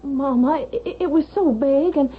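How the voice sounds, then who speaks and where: An actress playing an anxious, placating grown daughter in a vintage radio drama, eager and pleading in manner. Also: female voice, high-pitched, measured pace. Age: adult. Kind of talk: 1970s radio drama acting. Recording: tinny vintage radio recording.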